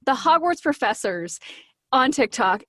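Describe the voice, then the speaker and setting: A woman's voice: high voice, sing-song, measured pace, a bit bright, no echo, studio quality. A woman guest on a podcast chatting casually and effusively, theatrically acting out little quotes and repeating words for emphasis. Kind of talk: casual chat, telling anecdotes.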